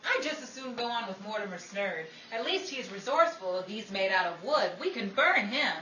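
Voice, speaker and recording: A woman playing a sharp-tongued, exasperated 1950s showbiz woman with dry sarcasm, in old-time radio comedy style. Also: mid-range voice, expressive, normal speed, natural voice. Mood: sarcastic. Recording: echoey, distant, noisy live audience recording.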